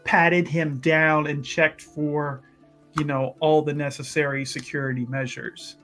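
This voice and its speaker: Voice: mid-range voice, little pitch movement, unhurried, a bit bright, soft background music. A speaker improvising in-character dialogue in a tabletop roleplaying game. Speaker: tabletop RPG participant.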